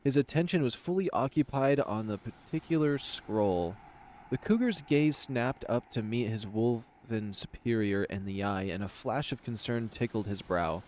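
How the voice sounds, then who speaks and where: Male narrator, mid-pitched, scripted fantasy storytelling, visual novel reading. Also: animated, moderate pace, darkish tone, slight background noise.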